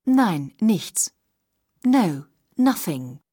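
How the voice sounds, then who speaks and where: A woman reading phrases for a language course, slowly and carefully, recorded close to the mic. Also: medium pitch, very animated, warm tone, no room sound. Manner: slow, careful, clearly articulated phrases.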